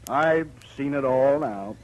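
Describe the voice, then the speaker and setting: An actor playing a rough traveling gunman in a 1950s radio western, folksy, boastful and joking with an old friend. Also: mid-range voice, takes it slowly, highs cut off, natural voice. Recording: noisy vintage radio recording, room ambience.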